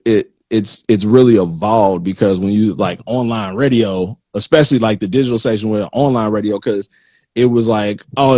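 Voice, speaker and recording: A man's voice: fairly low pitch, darkish tone, dry recording. A man hosting an interview in casual, informal talk, explaining his points with rhetorical questions. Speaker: interview host.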